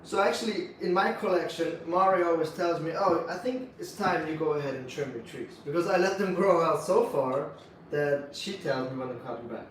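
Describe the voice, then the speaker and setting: Man, Swiss accent, relaxed practical explainer, distant mic, faint hiss. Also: on the high side, a little fast. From Switzerland. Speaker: bonsai nursery co-host. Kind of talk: casual livestream Q&A. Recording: echoey room, mic at a distance.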